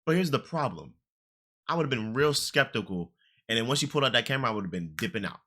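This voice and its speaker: A young man in his twenties riffs on a clip during a live stream. His voice is bright and forceful, and his banter is loud, slangy and jokey, at an ordinary middle pitch for a man. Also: animated, fast talker. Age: 20s. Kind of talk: reaction stream commentary.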